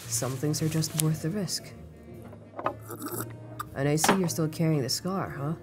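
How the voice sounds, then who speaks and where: A woman's voice: low voice, measured pace, over loud music. A woman playing a composed, wry syndicate operative in an audio roleplay, speaking in an understated, knowing way. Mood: probing, thoughtful. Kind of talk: dramatic audio roleplay acting.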